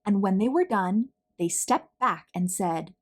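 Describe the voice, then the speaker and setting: A woman reading a picture book aloud to children, telling the story in a deliberate, dramatic way with emphasized words. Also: mid-range voice, lively intonation.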